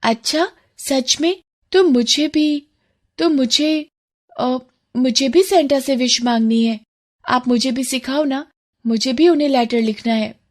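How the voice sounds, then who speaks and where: A woman plays a poor little servant girl in a moral-story cartoon. The voice is high and childlike, with an innocent, earnest and pleading manner. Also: slow. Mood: eager, pleading.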